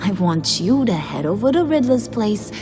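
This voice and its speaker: A woman's voice: mid-range voice, sing-song, moderate pace, close to an everyday voice. A woman playing a playful but menacing female gang boss in a video game, slangy, bossy and teasing. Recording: music behind the voice.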